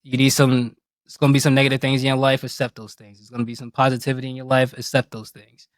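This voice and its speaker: A man's voice: mid-range voice, monotone, fast talker, no room sound. A man speaking as an interview guest about himself in a candid, reflective, colloquial way. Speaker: guest, game streamer. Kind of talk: casual interview conversation.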